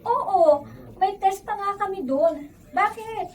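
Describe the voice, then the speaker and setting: A young Filipina college student with a very high, pleading voice. She is expressive, in radio drama style. The recording is a little distant, with faint noise. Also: takes it slowly. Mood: protesting, exasperated. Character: apologetic young college student daughter and girlfriend.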